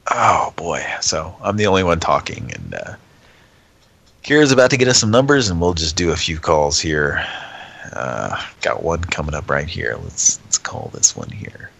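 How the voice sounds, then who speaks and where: A man hosting a live show in rambling, halting chat, stuffed up from a cold. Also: rumbling low, huge pitch swings, vocal fry, a bit bright, husky. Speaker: host.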